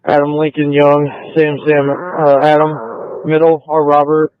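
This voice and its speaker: A male police officer calling in over scanner radio, spelling names in phonetic code in a flat, routine way. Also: on the high side, unhurried, clear, resonant tone, no echo, dull audio.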